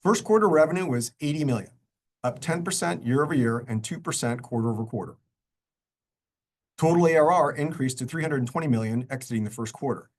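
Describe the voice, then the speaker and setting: A man presenting scripted quarterly financial results as a company executive, in a formal, measured and businesslike manner. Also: medium pitch. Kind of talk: scripted earnings-call presentation.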